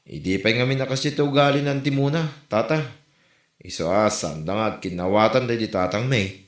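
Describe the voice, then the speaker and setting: A man's voice: fairly low pitch, brisk, close to an everyday voice. Ilocano village man played by an actor, roomy sound. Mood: apologetic, earnest. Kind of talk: Ilocano comedy drama dialogue. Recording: roomy echo, faint music behind.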